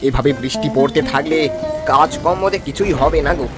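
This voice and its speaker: A man voicing a poor, worried but reassuring village father in a folk-tale cartoon, in an expressive, exclaiming way. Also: on the high side, a little fast, music in the background, natural voice.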